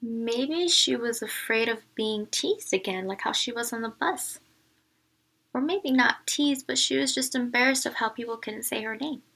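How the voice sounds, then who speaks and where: A woman teacher reading a picture book aloud, narrating and pausing to explain it to young listeners. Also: fairly high pitch, vocal fry, sharp tone, poor audio.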